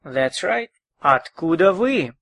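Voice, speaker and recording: A man's voice: on the high side, big pitch swings, slow pace, creaky, fairly bright tone, dry recording. A man teaching a recorded language lesson, patiently explaining phrases and drilling them by repetition.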